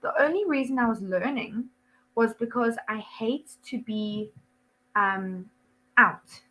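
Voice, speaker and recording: A young woman with a South African accent talks through an online English lesson over a video call. Her voice sits a little high and she chats freely, laughing often and filling her sentences with ums and likes. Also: takes it slowly, pushed and bright, rough recording. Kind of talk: casual one-to-one lesson chat.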